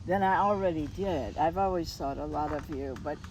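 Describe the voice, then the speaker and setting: A woman's voice: deep voice, normal speed, a little mellow, poor audio. A woman interviewing in a conversational way, thinking aloud in long, winding sentences before getting to her question. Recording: clear background ambient noise.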